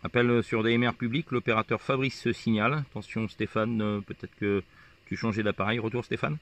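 A man's voice: lowish voice, steady tone, fairly quick, fairly bright tone. A man chatting casually on amateur radio and showing his gear outdoors, explaining it hesitantly step by step. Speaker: amateur radio operator filming a video. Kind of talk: casual radio chat and hands-on demonstration. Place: outdoors on a hilltop field.

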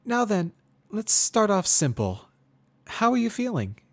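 Guy doing a prim girl pony student, way high voice, earnest and asking questions, storybook reading. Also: wildly swooping pitch, unhurried, full character voice. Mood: politely awkward. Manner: prim, questioning, forced friendliness. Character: polite, earnest young female pony student, easily frustrated. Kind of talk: dramatic reading of fan fiction.

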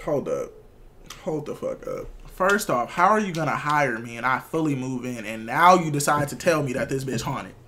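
A man's voice: mid-range voice, expressive, moderate pace, sharp tone, a little hiss or hum. A young man hosting a reaction video with casual, profane commentary, blunt and incredulous. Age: young adult.

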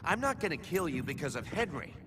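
Casting: brash game antihero, cocky and sarcastic, lively slightly high voice over rumbling noise. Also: male voice, quick pace. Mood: cocky, irritated. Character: brash, impatient, foul-mouthed male assassin. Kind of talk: video game cutscene acting.

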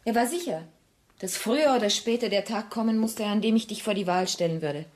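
An actress playing a grave, disillusioned woman in a radio drama, with a husky, breathy voice and measured, sombre delivery. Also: mid-range voice, darkish tone, natural voice. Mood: firm, resigned. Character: disillusioned, grave woman ending a love affair. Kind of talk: German radio play acting, intimate dialogue.

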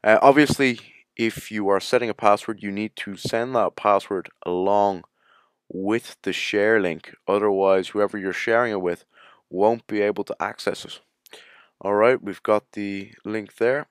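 A man presents a screencast tutorial in a low, very smooth and clear voice. He explains things informally, one step after another. The sound is dry and close, with no room echo. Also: steady tone, measured pace. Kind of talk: casual screencast software tutorial narration.

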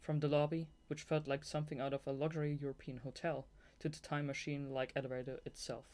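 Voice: mid-range voice, level tone, quick pace, darkish tone. A young adult native German speaker reading a novel aloud as amateur audiobook narration, sometimes breaking into gushing fan asides. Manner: giggly, gushing fangirl asides between read passages. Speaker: audiobook reader.

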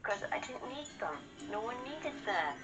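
A woman's voice: a little high, big pitch swings, moderate pace. A woman chatting casually over gameplay as co-host, blunt and given to swearing. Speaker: co-host of a gaming show. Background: video game music.

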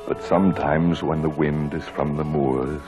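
An actor playing a grave, mournful storyteller, narrating a 1940s radio drama in a solemn, measured way. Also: male voice, very deep voice, little pitch movement. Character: grave, mournful male storyteller recalling tragedy. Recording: vintage radio broadcast with music.

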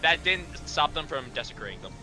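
A man's voice: fairly high pitch, sing-song, fairly quick, loud music behind the voice. A man co-hosting a game stream with casual banter. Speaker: co-host and voice player on a game stream.

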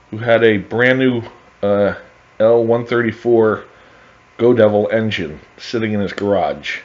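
A middle-aged man narrating his own restoration project over photos in a casual, halting way, with many fillers and restarts. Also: fairly low pitch. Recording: dull lo-fi voice-over.

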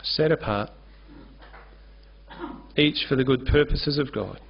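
A pastor in his forties delivers an earnest sermon from a church stage, gesturing as he speaks into a lectern microphone. His voice sits at an ordinary middle pitch. The recording is somewhat dull and lo-fi. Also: takes it slowly, gravelly, slightly rough. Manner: earnest, gestures freely while preaching at a lectern. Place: church stage with curtain backdrop. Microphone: lectern-mounted microphone.

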